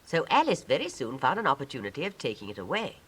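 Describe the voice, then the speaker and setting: A woman's voice: very deep voice, wildly swooping pitch, quick pace, noisy background. A woman with a British Received Pronunciation accent reading scripted storybook narration in a 1950s style.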